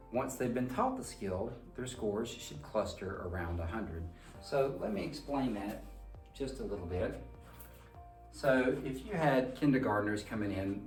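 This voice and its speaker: A man delivers an explanatory lecture in a mid-range voice. He teaches didactically, leaning on key words. The sound is echoey and distant from the microphone, with faint music. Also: medium pitch. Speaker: presenter teaching about reading tests. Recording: roomy, echoing sound from a distant mic.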